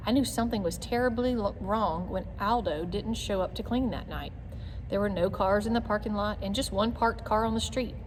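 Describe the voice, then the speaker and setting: A woman with a mid-pitched voice narrates a children's storybook expressively, in clear phrases. The recording has long reverb and some noise behind her. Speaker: read-aloud reader.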